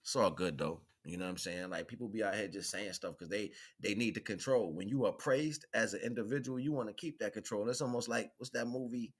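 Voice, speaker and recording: A man giving a rambling, informal spiritual monologue, preaching in an animated way with repeated phrases and slangy check-ins with his listeners. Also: on the low side, a little fast, hardly any pauses, husky. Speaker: spirituality vlogger, livestream host.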